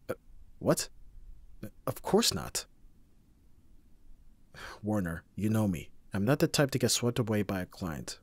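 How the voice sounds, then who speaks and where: Young male bodyguard played by a man for roleplay audio drama: deep-ish, husky, abrasive, teasing, secretly caring. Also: no room sound. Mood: curt, businesslike. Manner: sardonic, abrasive yet reassuring.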